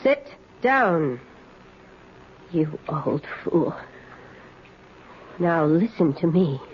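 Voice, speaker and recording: A woman's voice: fairly low pitch, sing-song, very slow, exaggerated voice. An actress playing a brazen, slyly sinister young girl in a 1970s radio drama, bossy and taunting. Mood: bossy, scolding. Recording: muffled, hissy 1970s radio recording.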